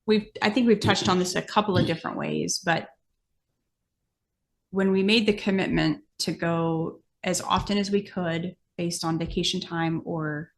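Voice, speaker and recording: A woman's voice: lowish voice, vocal fry. A middle-aged American woman explaining things step by step in a casual, plain-spoken podcast chat. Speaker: podcast guest, amateur horse exhibitor.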